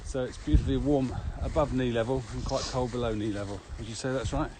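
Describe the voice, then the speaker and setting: Measured man talking outdoors, noticeable background noise. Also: mid-range voice, steady tone. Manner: measured, somewhat formal explaining. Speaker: podcast co-host. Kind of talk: explanatory walking podcast chat. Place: walking outdoors across open fields. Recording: outdoor recording with clear ambient noise. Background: outdoor ambience.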